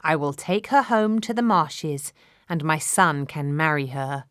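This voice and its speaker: A woman's voice: deep voice, animated, unhurried, a bit bright, no echo, studio quality. A woman voicing a scheming slimy father toad in a storybook tale, with a calculating manner.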